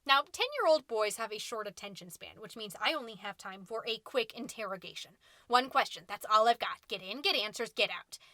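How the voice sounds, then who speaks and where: Indignant, melodramatic suburban mom podcaster, played by a woman, sarcastic comedic monologue, bright and close dry sound. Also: fairly high pitch, expressive, brisk, hardly any pauses, no echo. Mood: conspiratorial, dramatic. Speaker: podcast host.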